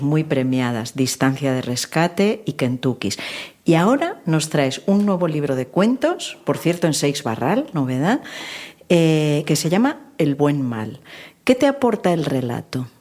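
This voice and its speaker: Lower-voiced woman hosting a book podcast. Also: lively intonation, unhurried, a little mellow. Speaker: podcast host interviewer. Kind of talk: podcast interview.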